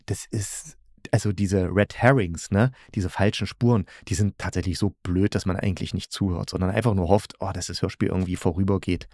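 A man's voice: lowish voice, a little mellow, no room sound, studio quality. A man hosting a podcast, giving a casual, colloquial and opinionated spoken review. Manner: colloquial, opinionated, with frequent tag questions.

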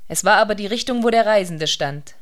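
A woman's voice: mid-range voice, expressive, fairly quick. A woman reading scripted literary narration as the narrator of a radio play.

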